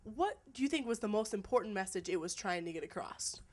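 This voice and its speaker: A woman's voice: mid-range voice, animated, a little fast, slight background noise. A young woman, a student interviewer, asking direct and upbeat interview questions.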